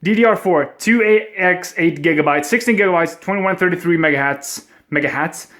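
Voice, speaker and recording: A young man with a Swedish accent, unboxing computer parts in a casual, excitable vlog at home, swearing and joking as he goes. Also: on the high side, moderate pace. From Sweden. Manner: excitable, swears a lot, jokey. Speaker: gaming vlogger. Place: at home indoors. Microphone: webcam.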